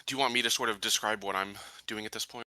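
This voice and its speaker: Very bright-voiced, tentative man. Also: lowish voice, fairly even intonation, fast talker, dry recording, rough recording. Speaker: tabletop game player. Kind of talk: casual in-game chat.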